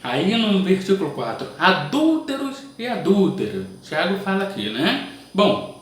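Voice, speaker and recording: A man reads scripture aloud and breaks off to comment in a loose, conversational way, often tagging his lines with "né?". His voice sits fairly high and swings lively up and down. He is recorded from a distance in a strongly echoing room. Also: unhurried. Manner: reads verses aloud, then comments with frequent "né?" asides. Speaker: preacher reading scripture. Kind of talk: informal Bible reading and commentary. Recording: distant mic, very echoey room.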